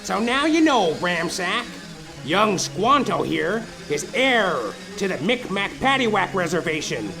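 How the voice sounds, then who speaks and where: A man's voice: sits high, sing-song, unhurried, gravelly, over music, pitched above the performer's own voice, heavily acted, rough recording. A man voicing a crazed, greedy business heir, a theatrical gloating villain in a comic audio detective play.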